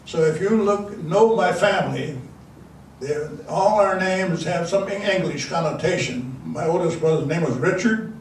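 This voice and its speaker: Older man, native Dakota speaker, slightly high voice, rambling storyteller, far from the mic in a very echoey room. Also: unhurried, a little hiss or hum. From South Dakota. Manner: rambling, many restarts and fillers. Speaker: elder giving a talk. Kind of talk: storytelling speech to an audience.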